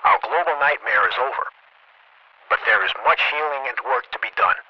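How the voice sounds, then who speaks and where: A man playing an official emergency broadcast announcer, calm and scripted, heard through a radio filter. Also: medium pitch, normal speed, no echo. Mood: measured, reassuring. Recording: dull, lo-fi radio transmission.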